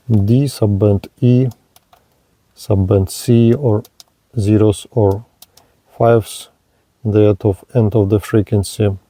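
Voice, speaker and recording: Slow, careful man demoing a radio. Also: on the low side, mellow, no echo. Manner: halting, careful, with pauses and fillers. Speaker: radio reviewer. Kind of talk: slow hands-on product demo narration.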